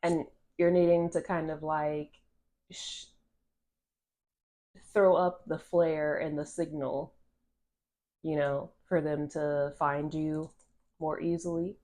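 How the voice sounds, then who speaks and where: A woman's voice: sits low, level tone, slow, pauses often, warm tone. A woman with a stuffy, nasal voice from allergies, giving a casual channeled tarot card reading to a phone camera, rambling with restarts and a lot of "like". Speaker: tarot reader.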